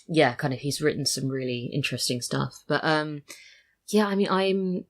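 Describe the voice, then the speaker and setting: A woman's voice: low-pitched, expressive, moderate pace. A British woman talking casually as a podcast guest, sharing opinions and personal anecdotes. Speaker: podcast guest, audio drama writer and voice actor.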